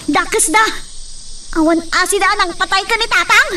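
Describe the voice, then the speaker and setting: A fiercely protective young daughter in a radio melodrama. Her very high voice is emotional and resolute, coming from a little distance with faint noise behind it. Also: normal speed, pushed and bright. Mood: upset, indignant. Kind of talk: melodramatic radio drama acting.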